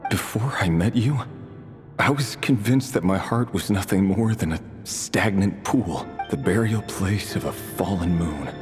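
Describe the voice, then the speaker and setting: A man voicing a reflective, dryly sardonic knight in a video game, speaking in a measured way. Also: low voice, a little mellow. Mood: solemn, tender. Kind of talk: dramatic game voice acting, reading journals and dialogue. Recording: music under the voice. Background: music.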